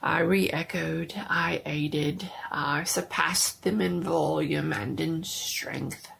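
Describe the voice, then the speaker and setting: A woman giving a dramatic reading of a horror story, theatrical and deliberate, often dropping to a hush. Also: deep voice, steady tone, vocal fry.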